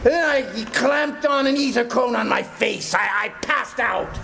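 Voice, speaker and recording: A man playing a frightened, embittered captive in an old-time radio drama, with a gravelly, strained voice and halting, stammering delivery. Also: extremely high pitch, crowd noise, full character voice. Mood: anguished. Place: live performance in a café. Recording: noisy live stage recording.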